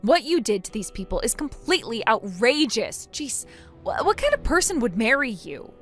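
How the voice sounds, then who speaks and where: A woman's voice: on the high side, big pitch swings, normal speed, fairly bright tone, soft background music. A woman voicing a wronged bride in a melodramatic story, stern and businesslike, scolding and explaining firmly. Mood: exasperated. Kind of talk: acted dialogue in a melodramatic story.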